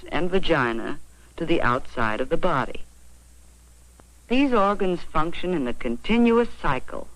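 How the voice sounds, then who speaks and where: An American woman narrating an educational film in a measured, explanatory, carefully phrased way, on a vintage 1940s soundtrack. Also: sits low, dry recording, low-quality recording. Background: faint music.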